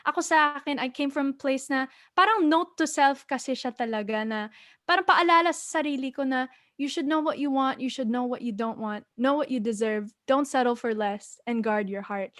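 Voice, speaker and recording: A woman's voice: sits high, a bit bright, no room sound, clean studio sound. A young woman who grew up in the United States, chatting in an interview and switching between English and Tagalog. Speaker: singer being interviewed.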